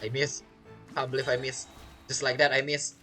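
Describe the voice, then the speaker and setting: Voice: mid-range voice, level tone, moderate pace. A game streamer giving casual gameplay commentary, reacting and thinking aloud. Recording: faint game music behind.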